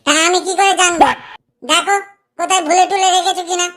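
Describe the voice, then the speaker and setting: A woman voicing a high, bright nagging housewife. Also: fairly even intonation. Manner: nagging, quick to threaten. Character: scolding village housewife. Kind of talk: cartoon comedy voice acting.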